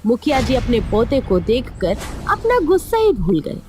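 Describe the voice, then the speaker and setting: Animated, high-voiced woman storyteller. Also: normal speed, faint music behind. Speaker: storyteller, narrator. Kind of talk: scripted narration of a cartoon moral story.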